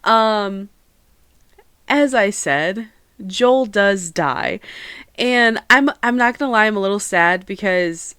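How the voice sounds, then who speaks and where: A woman's voice: mid-range voice, slow pace, a bit bright, clear, resonant tone, no echo. Young female podcast host, nasal with a cold, rambly and talkative, clean dry recording. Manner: chatty, rambling, self-aware about yapping. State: stuffy head cold, nasal. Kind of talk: casual solo podcast chat. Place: own room at home.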